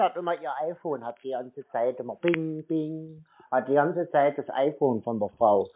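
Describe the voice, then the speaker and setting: A man with a slightly high voice plays the customer on a phone call. He sounds naive and keeps asking questions persistently. The audio is narrow and phone-like. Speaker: prank caller posing as customer. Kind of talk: phone conversation. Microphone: telephone.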